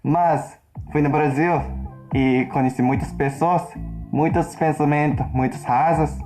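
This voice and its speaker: Halting Japanese man in learner's speech. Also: fairly high pitch, fairly even intonation, darkish tone, soft background music. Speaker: interviewee in a played video. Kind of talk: casual personal account.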